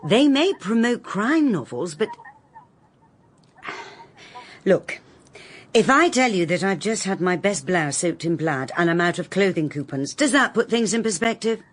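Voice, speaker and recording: An actress playing a blunt, wry mid-century private detective in a radio drama, with an English accent and a brisk, sardonic manner. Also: female voice, medium pitch, animated, lightly acted. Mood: exasperated.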